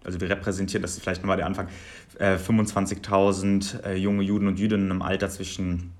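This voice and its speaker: A man in his twenties from Germany, answering interview questions in a measured, articulate way with long listing sentences. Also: low voice, flat delivery. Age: 20s. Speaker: guest.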